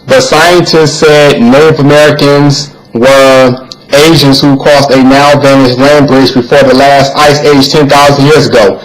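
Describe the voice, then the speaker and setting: Man narrator, fairly high voice, earnest read-aloud, occasional stumbles. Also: low-quality recording. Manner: plain, earnest reading with occasional stumbles and repeats. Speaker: video narrator. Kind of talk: reading text aloud over images.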